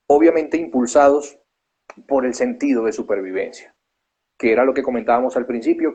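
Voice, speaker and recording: A man's voice: medium pitch, a little mellow, breathy edge. A man hosting an interview, asking long, earnest questions and summing up the guest's answers. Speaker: interview host.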